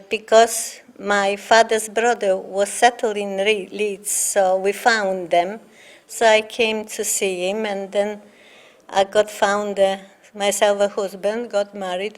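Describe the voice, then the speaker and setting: An older woman with a Polish accent, Polish her first language, telling her life story in an interview, halting with many fillers and pauses. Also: mid-range voice. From Poland. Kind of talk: oral history interview.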